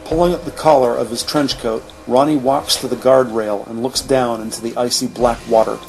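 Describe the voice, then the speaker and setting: A man's voice: medium pitch, steady tone, moderate pace, vocal fry, low-quality recording. Male narrator for scripted audio drama, medium register, noticeable background noise.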